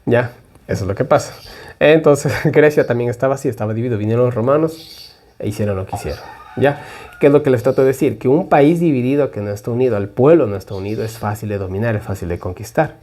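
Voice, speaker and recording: A man's voice: medium pitch, fast talker, dark tone. Chatty Ecuadorian man teaching prophecy. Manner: rambling, conversational, many asides and "¿ya?" tags. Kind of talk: informal Bible study talk.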